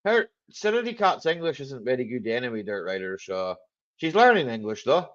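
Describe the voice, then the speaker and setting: A man with a strong Scottish Highland accent hosting a casual live chat, joking, laughing often and dropping "aye" and "eh" into his talk. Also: very animated, brisk, fairly bright tone, highs cut off. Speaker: livestream host.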